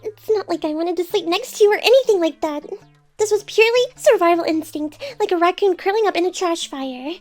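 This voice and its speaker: A woman's voice: very high voice, brisk, soft background music. A woman playing a playful, guarded female AI who is secretly tender, in an intimate ASMR roleplay with teasing and denials. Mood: flustered, defensive. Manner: tsundere, teasing, flustered denials over soft confessions.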